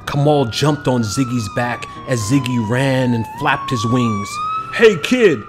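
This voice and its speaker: A man reading a children's picture book aloud as an expressive, scripted narration. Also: medium pitch, a little slow, over music.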